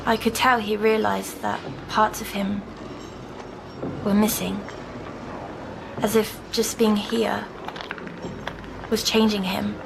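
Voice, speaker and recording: A woman playing a timid, dreamy young girl patient who recounts her nightmares in a hushed audio drama. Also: mid-range voice, level tone, takes it slowly, gravelly, pauses often, noisy background. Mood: hushed wonder.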